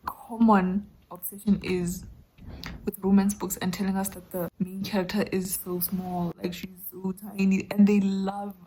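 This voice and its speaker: A woman's voice: medium pitch, flat delivery, measured pace, mellow, slight background noise, rough recording. A woman chatting casually and candidly in slangy, conversational language to camera, as a book vlogger. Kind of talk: casual reading vlog chat to camera.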